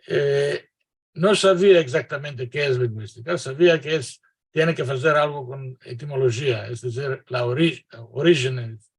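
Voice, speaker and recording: A man's voice: medium pitch, vocal fry, slightly rough. An older man from Greece, a linguist guest answering interview questions over a video call, hesitant and full of fillers and restarts, laughing often.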